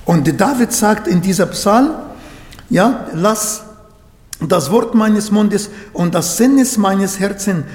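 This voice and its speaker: Arabic-native male preacher, high voice, slow earnest delivery, echoey hall recording. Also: a little mellow. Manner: earnest, searching for German words. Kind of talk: sermon.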